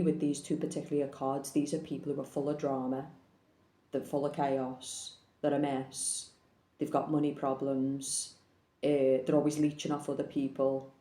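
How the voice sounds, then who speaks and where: A woman's voice: low-pitched, warm tone, reverberant. A woman giving a casual, blunt tarot reading, speaking frankly and swearing now and then. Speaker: tarot reader.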